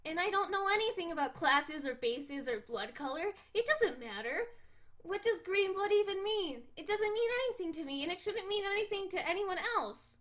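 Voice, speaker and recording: A woman doing a playful excitable cat girl. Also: extremely high pitch, moderate pace, pitched above the performer's own voice. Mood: indignant. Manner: bouncy, teasing, shouts cat growls.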